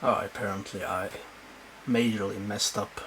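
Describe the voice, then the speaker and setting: A man with a Swedish accent, whose first language is Swedish, casually showing his bookshelves at home and talking in halting bursts with many fillers. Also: on the low side, fairly even intonation, background noise. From Sweden. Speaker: book collector showing his shelves. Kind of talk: casual home video walkthrough. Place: home room.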